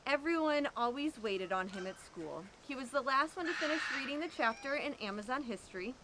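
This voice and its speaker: A woman reading a children's picture book aloud, with expressive, drawn-out emphasis. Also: sits high, very noisy. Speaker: storyteller at an animal sanctuary.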